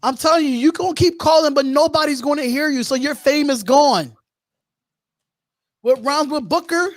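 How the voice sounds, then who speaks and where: A man's voice: very high-pitched, moderate pace, dry recording. A man hosting a casual live sports call-in stream, talking in slangy, repetitive phrases. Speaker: host of a sports call-in show.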